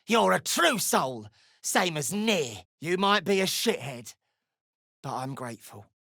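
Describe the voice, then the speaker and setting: A woman playing a foul-mouthed, defiant gnome in a video game, with a brash, gruff and sardonic delivery. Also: on the low side, very animated, unhurried, fairly bright tone, no echo, studio quality, heavily acted.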